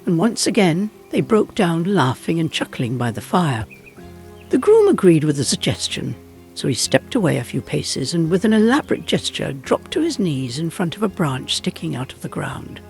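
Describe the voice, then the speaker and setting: A man narrating a scripted folk tale in a dramatic, expressive way. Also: on the high side, moderate pace, vocal fry, faint music behind. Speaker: storyteller narrator.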